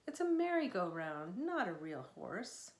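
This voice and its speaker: A woman's voice: lowish voice, sing-song, measured pace. A woman author voicing an eager, coaxing young girl from a storybook, earnest and pleading. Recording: echoey room sound.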